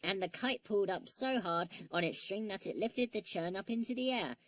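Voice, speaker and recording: A woman voicing an eager, excitable little toy boy in a storybook reading, chirpy and earnest. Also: mid-range voice, moderate pace, no room sound, voice pushed up, natural voice. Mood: earnest explaining.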